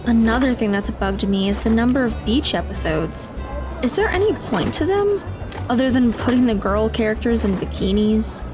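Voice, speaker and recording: A woman playing an earnest, literal-minded high school girl in an audio drama. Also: animated, normal speed, over music, natural voice. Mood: friendly, questioning.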